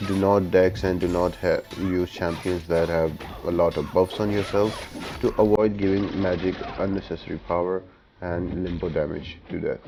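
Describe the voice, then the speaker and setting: Low-voiced man explaining game tactics. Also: level tone, moderate pace, poor audio. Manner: explanatory, step-by-step. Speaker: gaming guide host. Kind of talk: casual game walkthrough commentary.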